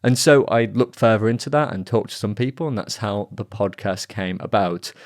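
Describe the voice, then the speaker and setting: A man hosting a science podcast, reading scripted narration with a lively, expressive delivery. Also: moderate pace, no room sound, clean studio sound. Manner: lively, expressive delivery with wide pitch swings. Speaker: podcast host and reporter.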